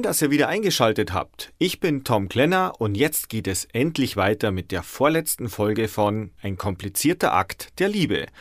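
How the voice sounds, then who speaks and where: A man's voice: medium pitch, dry recording, studio quality. A man hosting a podcast, presenting scripted announcements to his listeners. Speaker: podcast host.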